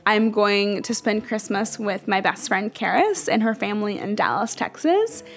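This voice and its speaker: A woman's voice: medium pitch, expressive, moderate pace, faint music behind. A young woman from Ethiopia speaking in a casual, earnest, reflective way, filling her talk with "like". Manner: earnest, says "like" constantly. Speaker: interviewee.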